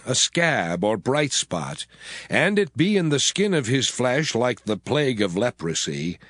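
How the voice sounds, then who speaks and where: Man playing commanding divine voice, emphatic, animated pitch, dry studio sound. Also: no room sound, exaggerated voice. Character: the Lord giving laws, grave and commanding. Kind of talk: dramatized scripture reading.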